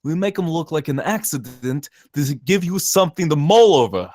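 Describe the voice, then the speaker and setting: A man's voice: on the high side, lively intonation, moderate pace, a little mellow, no room sound, clean studio sound, lightly acted. A man at a screenplay table read playing a brash, cynical saboteur who talks in emphatic, profane slang. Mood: coolly persuasive. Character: cynical, brash zealot saboteur posing as safety inspector.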